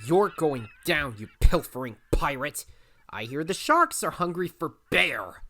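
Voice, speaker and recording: A woman's voice: sits low, lively intonation, a little slow, pushed and bright, heavily acted. A woman voicing a plucky, brash and loyal young male raccoon adventurer in an audio drama. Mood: bold.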